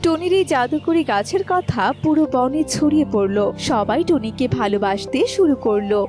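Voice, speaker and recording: Lady narrating a kids cartoon story, high voice, music behind her. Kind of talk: scripted children's story narration.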